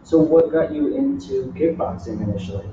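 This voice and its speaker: A man's voice: fairly high pitch, huge pitch swings, moderate pace. A man hosting a casual interview, asking short questions and giving friendly, encouraging replies. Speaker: interviewer, host. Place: gym. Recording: echoey room, distant muffled mic, faint hiss.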